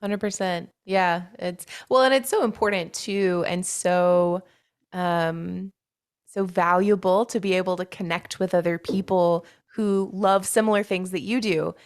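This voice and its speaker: A woman's voice: on the low side, fairly even intonation, a little slow. A woman co-hosting a casual interview, enthusiastic and agreeable. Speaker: podcast co-host.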